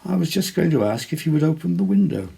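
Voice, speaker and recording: A man's voice: on the high side, very animated, fast, gravelly, dark tone, breathy edge, pitched above the performer's own voice, heavily acted. A man voicing a composed lady passenger in a storybook reading, polite, matter-of-fact and lightly teasing. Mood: polite concern.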